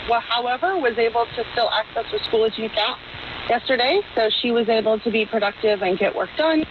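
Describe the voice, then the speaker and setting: A woman's voice: on the high side, brisk. A woman talking casually in a news interview clip, heard over a muffled and hissy radio signal.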